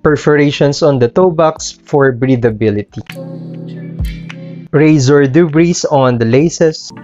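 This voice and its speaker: A man's voice: on the high side, takes it slowly, a little mellow. A man in his thirties presenting a scripted product review as a sneaker channel host. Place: small indoor room with sneaker shelves. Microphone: clip-on lapel mic. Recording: muffled lo-fi audio, music underneath. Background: background music.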